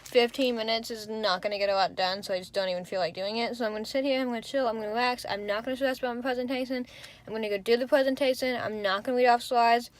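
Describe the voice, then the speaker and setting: A woman's voice: on the high side, steady tone, quick pace, slight background noise. A young woman, a college student, chatting casually to her camera as she goes through her day, in a rambling, self-narrating way. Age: young adult. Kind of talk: casual vlog chat. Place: in her car and around campus.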